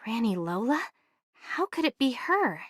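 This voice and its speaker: A woman voicing a practical, inquisitive young female adventurer in an anime game, speaking in a casual, measured way. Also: a little high, sing-song, fairly bright tone, breathy edge, dry recording, clean studio sound, heavily acted. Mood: dry, casual. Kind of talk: anime video game voice acting.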